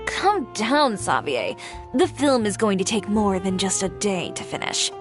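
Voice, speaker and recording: A woman's voice: mid-range voice, very animated, a little slow, music in the background. A woman voicing a poised, frank and practical costume designer in a video game, speaking directly. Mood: practical, amused.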